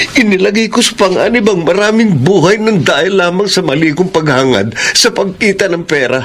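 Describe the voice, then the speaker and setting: An anguished father in a radio drama, full of remorse. His voice sits a little high and is earnest and emotional, with slight background noise. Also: fairly bright tone.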